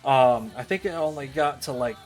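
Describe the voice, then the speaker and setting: A man giving casual commentary on a visual novel playthrough, explaining things in a rambling, halting way. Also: mid-range voice. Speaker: game streamer. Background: game music.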